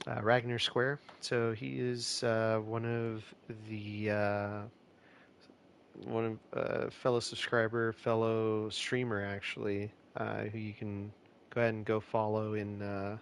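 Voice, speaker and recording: A man with a low, gravelly, slightly nasal voice talks his way through a city-building game on a live stream. He rambles easily, trailing off and restarting, with a faint hiss of noise under the mic. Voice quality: a bit nasal, stuffed-up. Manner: relaxed, rambling, many fillers and restarts. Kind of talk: casual live-stream commentary.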